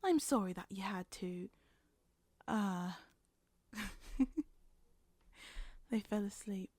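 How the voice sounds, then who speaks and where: A woman's voice: mid-range voice, extremely slow, a little mellow, dry recording. A woman playing a relieved, apologetic supervisor and friend in an audio roleplay.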